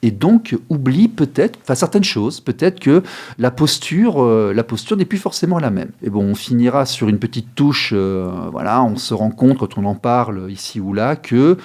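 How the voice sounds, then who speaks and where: A man's voice: medium pitch, lively intonation, moderate pace, darkish tone. A man in his fifties who hosts a radio talk show, speaking hesitantly with many fillers and restarts. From France. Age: 50s.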